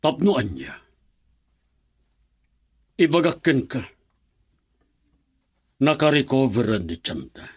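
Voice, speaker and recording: A man with a Filipino accent acting a stern, unforgiving middle-aged father in a radio drama, cold and raspy. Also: medium pitch, big pitch swings, slow pace, pauses often, heavily acted. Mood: firm, bitter. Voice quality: raspy, gravel-edged. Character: stern, unforgiving father protecting his daughter.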